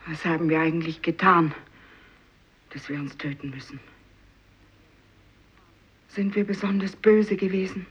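An exhausted fisherman in despair in a vintage radio drama. The voice is extremely breathy and husky, and the recording is muffled. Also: on the high side, a little slow, pauses often, a bit bright, full character voice. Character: exhausted, despairing fisherman questioning his fate. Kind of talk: 1950s German radio play acting.

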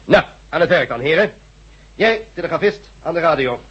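A man playing an impatient, sardonic resistance leader in a Dutch radio drama, brisk and authoritative. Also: fairly high pitch, animated, a bit bright, slightly rough. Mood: brisk, commanding. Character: commanding, impatient male leader of a resistance group. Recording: dull, muffled radio play recording.

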